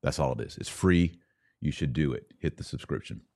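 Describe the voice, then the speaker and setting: A man's voice: very deep voice, moderate pace, vocal fry, dark tone, dry recording. A man hosting a podcast of opinionated commentary, with a mocking, sarcastic and emphatic delivery. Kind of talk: opinionated live commentary, reading aloud and reacting.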